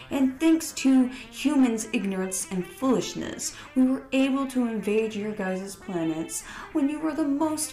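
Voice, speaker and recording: A woman voicing a cold, menacing alien invader in a story, with slow, drawn-out, taunting delivery. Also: on the high side, dark tone, echoey room, rough recording. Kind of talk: storybook villain narration.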